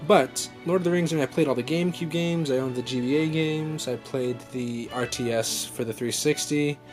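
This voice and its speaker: A young man talks off the cuff with clear music playing behind him. His voice sits at an average pitch, and he rambles along with a fan's enthusiasm, doubling back to fix his own words. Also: normal speed. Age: young adult. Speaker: vlog host. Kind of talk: casual unscripted unboxing chat. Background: background music.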